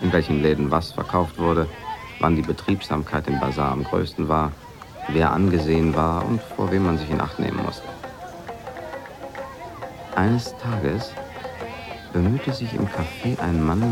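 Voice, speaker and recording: Deep, creaky, reserved gentleman. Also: measured pace, long pauses, music in the background. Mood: reflective. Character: reserved, observant foreign professor in a Moroccan café. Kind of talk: German radio drama, narration and dialogue.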